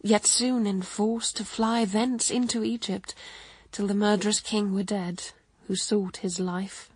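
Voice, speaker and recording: A sorrowing, dignified mother in classical radio drama. She sighs through solemn verse at a very slow pace, her middle-pitched voice husky and recorded close and dry. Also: level tone, a little mellow, dry recording. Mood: sorrowful. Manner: sighing, contemplative, solemn.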